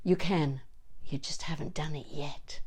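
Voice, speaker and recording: A woman with a slightly low voice teaches vocal exercises as a coach. She is encouraging and informal, with a playful streak in how she explains things. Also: steady tone, unhurried, a little mellow, breathy edge. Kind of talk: instructional vocal warm-up lesson with demonstrations.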